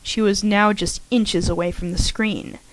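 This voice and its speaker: A woman's voice: mid-range voice, steady tone, measured pace, low-quality recording. A woman reading fan fiction aloud, a little shaky, on a home microphone. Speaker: fanfiction reader. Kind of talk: amateur audiobook narration.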